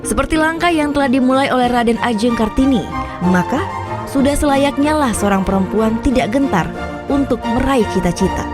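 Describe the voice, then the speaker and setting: A woman's voice: sits high, expressive, normal speed. A woman reading scripted narration for a news feature. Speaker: reporter and narrator. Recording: voice-over with clear background music. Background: music.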